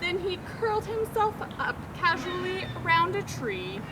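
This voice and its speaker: High-voiced, animated woman reading to children. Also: normal speed, heavy background noise. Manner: expressive, asks listeners questions about the pictures. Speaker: storytime reader, zoo educator. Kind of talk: children's picture book read-aloud.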